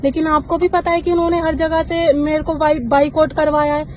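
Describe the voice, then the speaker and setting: High-voiced woman, accusing and insistent, heard over a phone call. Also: flat delivery, a little fast, darkish tone. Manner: insistent, accusing, repeats her points. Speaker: party in a leaked phone call. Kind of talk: heated phone argument.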